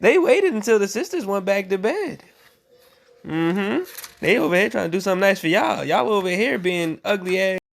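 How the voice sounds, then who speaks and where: Animated woman reading a bedtime story. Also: mid-range voice, moderate pace, creaky. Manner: playful, mocking asides between story lines. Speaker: storyteller reading aloud to a child.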